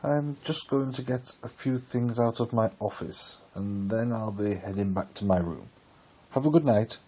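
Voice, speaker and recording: A man's voice: fairly low pitch, normal speed, dark tone. A man playing a polite, mildly condescending bishop in an audio drama. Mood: startled, affable. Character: polite, patronising bishop with a furtive streak.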